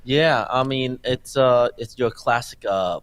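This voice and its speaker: A man in his forties, born in Thailand and raised in New Jersey, telling his life story as a guest in a casual interview, halting with many fillers and restarts. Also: mid-range voice, smooth, no room sound. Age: 40s. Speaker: filmmaker guest.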